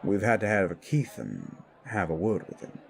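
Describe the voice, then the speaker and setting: A man voicing a worldly, protective supernatural mentor in an audio drama, measured and admonishing as he speaks. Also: low voice, big pitch swings, vocal fry, full character voice. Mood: grave, explaining. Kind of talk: audio roleplay dialogue.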